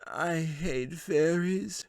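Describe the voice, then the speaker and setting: Storybook villain wizard male reader in character: soft-spoken, smug, mock-polite menace, dry studio sound. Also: fairly high pitch, extremely slow, no room sound, pitched above the performer's own voice. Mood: disgusted.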